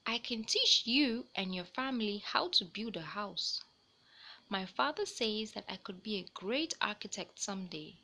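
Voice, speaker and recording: An eager little boy detective from a children's storybook, voiced by a woman reader. The voice is bright and animated, earnest and kind, with a brave, reassuring way of speaking. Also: mid-range voice, unhurried, exaggerated voice.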